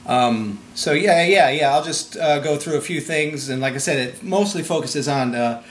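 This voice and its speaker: A man conducting a casual interview, talking in a rambling, halting way. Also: a little high. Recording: roomy echo, background noise.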